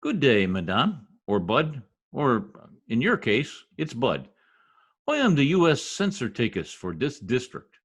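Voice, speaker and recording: Man playing a brash, grumbling husband, swooping pitch. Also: big pitch swings, unhurried, a bit bright, breathy edge, exaggerated voice. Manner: brash, slangy, exasperated. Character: blustery, grumbling husband working as census taker. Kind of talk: old-time radio comedy acting.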